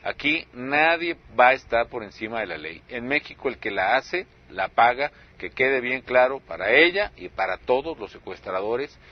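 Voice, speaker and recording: An actor playing a stern, unyielding Mexican president, speaking firmly and resolutely as in an interview. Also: male voice, medium pitch, animated, normal speed, few pauses, lightly acted. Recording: dull lo-fi broadcast-style sound.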